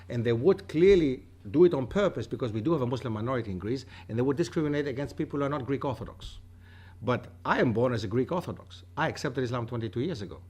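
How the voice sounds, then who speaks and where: A man in his forties from Germany, giving a lecture at a table mic in a university lecture room and telling stories with lively gestures. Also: medium pitch, husky. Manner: animated, gestures with both hands, tells stories. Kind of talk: university talk with audience questions. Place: lecture room with projection screen. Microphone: table mic on a stand.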